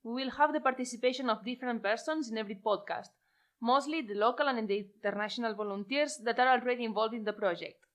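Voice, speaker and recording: A woman hosts a podcast and leads the talk by asking her guests questions. Her voice is a little high. She sometimes pauses briefly as she goes. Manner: leads questions, light hesitations. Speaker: podcast host. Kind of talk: podcast hosting.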